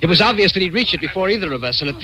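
An actor playing an earnest, bewildered man telling his uncanny adventure in an old-time radio drama, dramatic and halting when nervous. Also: high voice, lively intonation, pushed and bright, poor audio. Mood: tense. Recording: old-time radio recording with music behind.

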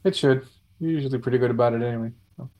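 A man's voice: medium pitch, rapid-fire, mellow. A man chatting informally with a group as a regular on an online radio program. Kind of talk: casual group chat.

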